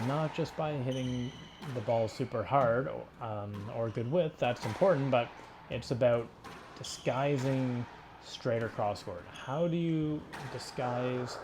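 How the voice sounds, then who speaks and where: A man coaching in an informal, explanatory way, talking through sports drills in halting phrases. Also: medium pitch, background noise. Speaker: squash coach. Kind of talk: instructional sports commentary over drill footage.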